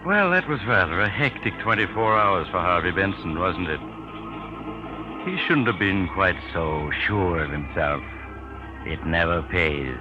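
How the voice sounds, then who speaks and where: Deep, sly mystery storyteller. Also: male voice, lively intonation, unhurried. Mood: wry, sly. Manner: wry, conversational, insinuating. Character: sly, genial mysterious train traveler telling tales. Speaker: host narrator. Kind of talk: 1940s radio acting. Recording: muffled vintage radio recording with music.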